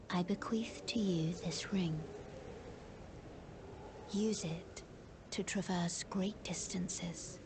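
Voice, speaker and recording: Slow, solemn fantasy maiden. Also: female voice, fairly low pitch, noisy background. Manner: soft, breathy, measured, formal. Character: calm, solemn, mysterious woman of a fantasy land. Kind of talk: fantasy video game character acting.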